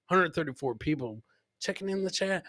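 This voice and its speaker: A man with a high, bright voice hosts a live comedy stream. He comments on clips in a boisterous, joking way, circling back to his catchphrases, and the sound is close and dry. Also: lively intonation, normal speed, dry recording. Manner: boisterous, jokey, repeats catchphrases. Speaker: podcast host.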